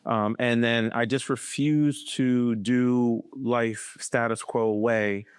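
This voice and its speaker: Male guest, 50s, from Boston; measured storyteller, smooth tone, mid pitch. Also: fairly even intonation, no echo, studio quality. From Boston, USA. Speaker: podcast guest. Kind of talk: casual conversational interview.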